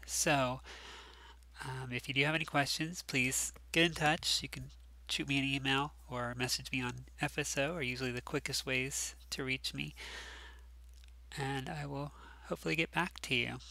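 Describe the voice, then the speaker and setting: A man's voice: medium pitch, steady tone, moderate pace, creaky, pushed and bright, no echo, poor audio. A man teaching a recorded class lecture, sounding nasal and stuffed up from allergies, talking casually with lots of ums and restarts. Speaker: course instructor.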